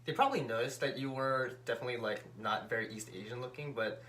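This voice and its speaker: A relaxed man chatting on a couple vlog, his voice pitched in an ordinary middle range. He talks thoughtfully, often hedging and pausing to find the right phrasing. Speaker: co-host, the vlogger's fiancé. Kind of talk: casual chat.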